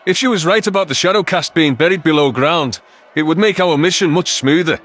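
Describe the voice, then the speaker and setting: A man's voice: fairly high pitch, a little fast, a bit bright. A man reading dramatic first-person science fiction narration as an audiobook narrator.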